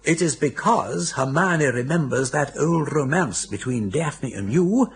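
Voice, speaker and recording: Breezy upper-class English brother, older: swooping, higher-pitched, gravelly edge, teasing wit for radio comedy. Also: male voice, expressive, measured pace, slight background noise. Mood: mock warning. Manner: urbane, wry, chatty and theatrical. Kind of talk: British radio comedy drama acting.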